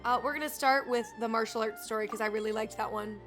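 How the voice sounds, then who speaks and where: A woman with a fairly high voice streams a video game. She chats casually and reads story text aloud, with game music behind her. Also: fairly even intonation, fast, fairly bright tone.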